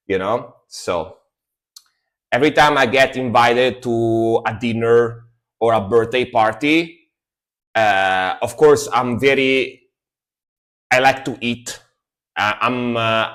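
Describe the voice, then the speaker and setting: A man's voice: mid-range voice, fairly bright tone. A man in his thirties with an Italian accent, a native Italian speaker, chatting casually as a livestream host in a rambling way, often repeating his phrases. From Italy.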